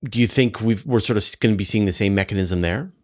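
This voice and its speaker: Low-voiced male science interviewer. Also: quick pace. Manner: curious, informal, thinking aloud while asking. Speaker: interviewer, science show host.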